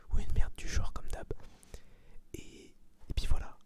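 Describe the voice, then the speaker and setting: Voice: a little slow, a little mellow. Whispered, ASMR, informal, slangy, rambling reviewer. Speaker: ASMR video host giving a film review.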